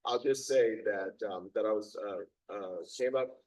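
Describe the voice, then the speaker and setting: Hoarse middle-aged man, low voice, halting community talk, distant mic. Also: a little mellow. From Maryland, USA. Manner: halting, many ums and restarts. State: hoarse, lost his voice. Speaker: circuit court judge. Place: meeting room.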